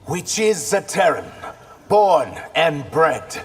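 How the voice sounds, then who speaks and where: A man's voice: a little high, very animated, takes it slowly, a little hiss or hum. A man playing an earnest, wounded outcast warrior in a fighting game, speaking in a guarded way. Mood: bitter. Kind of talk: fighting game dialogue acting. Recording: music over the voice.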